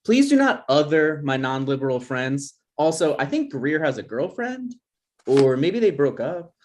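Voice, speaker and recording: Insecure, defensive man in his twenties played by an actor, very animated delivery, comedy table read. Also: fairly high pitch, unhurried, slight background noise. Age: young adult. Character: insecure, defensive twenty-something boyfriend and son.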